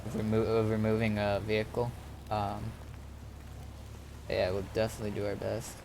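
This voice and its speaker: Halting man chatting over background noise. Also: lowish voice, monotone. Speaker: streamer playing an online roleplay game. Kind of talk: casual gaming chat.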